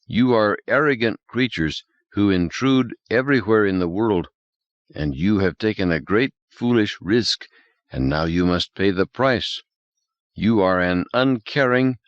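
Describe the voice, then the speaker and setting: A man's voice: on the low side, dry recording, lo-fi sound, pitched above the performer's own voice. A man voicing Jerry, an arrogant male alien intelligence that speaks stiffly and formally without contractions, in an audiobook reading.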